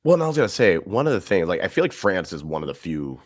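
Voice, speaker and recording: A man co-hosting a podcast, giving calm, measured football analysis in casual chat. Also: deep voice, dry recording, studio quality. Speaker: podcast co-host.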